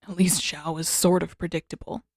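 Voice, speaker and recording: A guarded, wry teenage prince from a fantasy storybook, read by a woman. The delivery is blunt and low-key, set slightly low, in a dry, close recording. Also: fairly even intonation, moderate pace, a little mellow, no echo.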